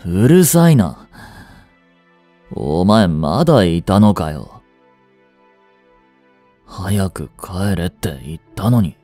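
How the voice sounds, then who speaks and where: An actor playing a proud, aloof teenage boyfriend in a romance voice drama, curt and dismissive, later feverish and weak. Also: male voice, low voice, expressive, slow pace, long pauses, warm tone, dry recording, faint music behind, heavily acted. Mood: feverish, irritated.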